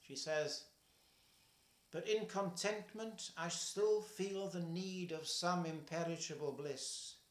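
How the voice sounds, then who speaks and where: An older man reading a poem aloud at home, slow and measured, his voice falling soft at the ends of lines. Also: sits high, reverberant. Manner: slow, measured, trailing softly at line ends. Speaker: poetry reader. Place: home kitchen, indoors.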